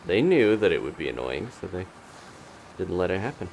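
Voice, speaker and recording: A man's voice: deep voice, big pitch swings, a little fast, gravelly, darkish tone, a little hiss or hum. A man giving casual live gameplay commentary, playfully exclaiming and talking to himself as he plays. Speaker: video game streamer and host.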